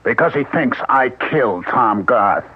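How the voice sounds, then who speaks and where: A man's voice: mid-range voice, expressive, unhurried, heavy background noise, lightly acted. A man playing a curt, suspicious husband. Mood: bitter. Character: bitter, paranoid husband who drinks. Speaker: radio drama actor. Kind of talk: 1970s radio drama acting. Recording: tinny old radio broadcast.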